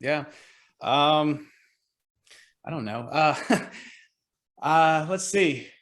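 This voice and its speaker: A man's voice: a little high, drawn-out words, a bit bright. A man in his forties with a Southern American accent, from Georgia, talking casually about his career in a video call interview, chatty and self-deprecating. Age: 40s. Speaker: real estate agent and team leader.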